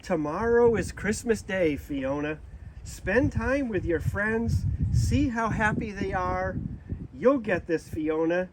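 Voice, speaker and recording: Storybook hippo mother, read by a man: gentle, soothing, unhurried, with faint background noise. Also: high-pitched, expressive. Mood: reassuring.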